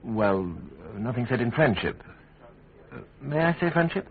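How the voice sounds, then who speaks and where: An ambitious young Englishman in a Tudor radio play. He is needy and ingratiating, with halting phrases and a pitch that jumps about, on a tinny radio recording. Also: expressive. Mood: nervous, cautious. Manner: hesitant, stammering, eager. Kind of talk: British radio drama acting.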